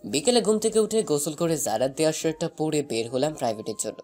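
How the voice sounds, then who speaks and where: A man's voice: on the high side, animated, fast talker, a little mellow, faint music behind, close to an everyday voice. A man playing a shy young college student, narrating a romantic story in the first person.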